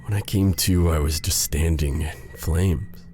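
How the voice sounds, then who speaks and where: A man playing a brooding, bitter exiled sorcerer with a tender side in an intimate ASMR roleplay drama, with a soft, halting delivery. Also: extremely low pitch, fairly even intonation, soft background music. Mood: pained, bitter. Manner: halting, hesitant, sighing delivery.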